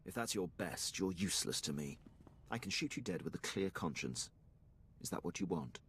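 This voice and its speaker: Game casting: stern, deferential-to-rank military officer, deep voice, lively inflection, authoritative. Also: male voice, normal speed, slight background noise. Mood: cold, menacing. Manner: formal, clipped, authoritative. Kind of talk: cinematic video game voice acting.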